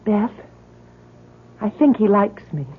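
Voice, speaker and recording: An actress playing an eager, hesitant young college student in a 1970s radio drama, with an earnest manner. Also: female voice, mid-range voice, animated, slow pace, slightly rough. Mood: hesitant, intrigued. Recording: muffled vintage 1970s radio recording.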